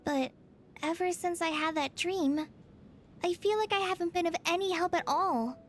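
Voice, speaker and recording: A woman's voice: extremely high pitch, normal speed, faint music behind. A woman voicing a shy, earnest young apprentice girl in an anime game, hesitant and polite. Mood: unsure, downcast.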